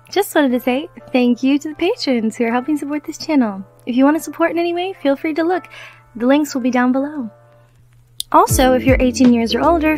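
A woman's voice: high-pitched, a little mellow. A woman speaking as a channel host, giving a casual address to her viewers. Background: music.

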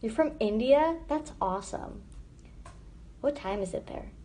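A teenage girl chatting casually on a live stream from her phone, answering viewer comments with lots of "like" and trailing off into quiet asides. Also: on the high side, expressive, measured pace. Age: teens. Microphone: phone front camera held close.

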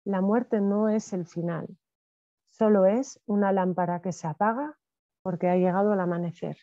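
A woman in her thirties, a guest speaker talking reflectively on a video call from home, with soft fillers and restarts. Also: mid-range voice, normal speed, no room sound. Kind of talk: conversational talk. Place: home room with wood ceiling. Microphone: video call with earphones. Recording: slightly dull video call audio.

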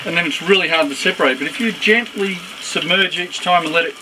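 A man's voice: high-pitched, fast talker. A middle-aged man giving casual, matter-of-fact cooking instructions in a small boat galley. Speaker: co-host doing the frying. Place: small sailboat galley indoors. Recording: clear frying and boat noise behind.

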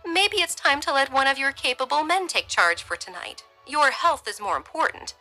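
Woman doing an anxious, caring middle-aged wife, pleading and bright-voiced. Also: expressive, normal speed, faint music behind. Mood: worried. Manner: pleading, higher and gentle.

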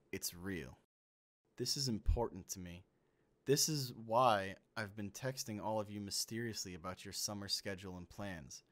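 A man playing a genial, persuasive friend reading out his written invitation in a measured way, for an amateur comic dub. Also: lowish voice, natural voice.

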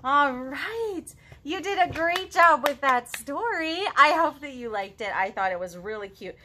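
A woman in her thirties hosting a children's storytime, reading and chatting to young kids in an expressive, sing-song, exaggerated way. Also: high-pitched, unhurried, a little hiss or hum. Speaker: children's librarian, storytime host. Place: living room at home, indoors.

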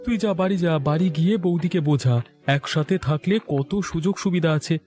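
A mellow, somewhat high male voice with soft music behind, a man acting a supportive young friend in a family cartoon. He advises earnestly and persuasively. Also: fairly quick, close to an everyday voice.

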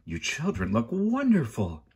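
A man voicing an excitable, dreamy, kindly woman judge in a gushing storybook manner. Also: mid-range voice, normal speed, husky, voice pushed up. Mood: delighted, gushing.

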